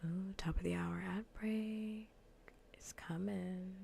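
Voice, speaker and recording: A woman livestreamer chatting softly in ASMR style, often whispering with a breathy, gravelly fry, in a casual, rambling way that repeats phrases. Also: medium pitch, fairly even intonation, slow pace, soft background music. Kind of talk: soft ASMR gaming livestream chat. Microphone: close ASMR microphone.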